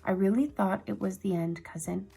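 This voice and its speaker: A woman voicing a boastful, excitable male mouse cousin who squeaks and teases. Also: moderate pace, vocal fry, slightly rough. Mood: weary. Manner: squeaky, teasing.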